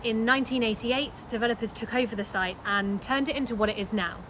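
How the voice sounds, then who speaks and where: A woman's voice: on the high side, fast. A woman giving a scripted storytelling narration. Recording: clear urban traffic noise behind. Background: cars and street ambience.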